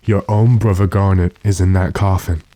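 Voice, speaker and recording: An actor playing a composed, authoritative gentleman investigator in a radio drama, measured and deliberate. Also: low voice, fairly even intonation, dry recording, close to an everyday voice. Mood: probing. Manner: measured, deliberate, matter-of-fact. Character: calm, authoritative gentleman investigator addressed as sir. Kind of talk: classic radio drama acting.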